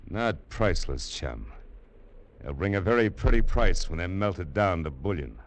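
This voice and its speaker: An actor playing a ruthless, sardonic treasure-hunting villain in a 1940s radio drama. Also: male voice, low-pitched, expressive, a little slow. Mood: greedy. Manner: sardonic, calling people chum. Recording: noisy vintage 1940s radio recording.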